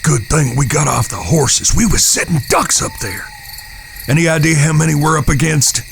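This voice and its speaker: An actor playing a stern, protective eldest brother leading a gang in an Old West audio drama, plainspoken and commanding. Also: male voice, fairly high pitch, animated, moderate pace, a bit bright. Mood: urgent, concerned. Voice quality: gravelly. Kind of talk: audio drama western acting. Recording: noisy track with cricket-filled outdoor ambience.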